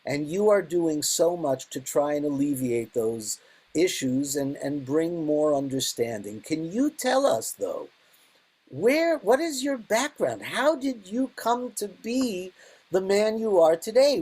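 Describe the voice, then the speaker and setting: An older man from the Bronx in New York, speaking as an interviewer in a conversational style with a husky voice. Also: on the high side, big pitch swings, a little slow, a little mellow. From Bronx, New York, United States.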